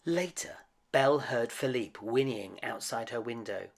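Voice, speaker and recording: Male storyteller, slow pace, creaky voice, dramatic swells and hushed drops, children's read-aloud. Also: mid-range voice, expressive. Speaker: storyteller reading a picture book aloud.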